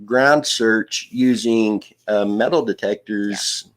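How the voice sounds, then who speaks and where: A man from a search and recovery team on a live stream, explaining things in a slow, deliberate, measured way. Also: fairly low pitch. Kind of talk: casual live-stream discussion.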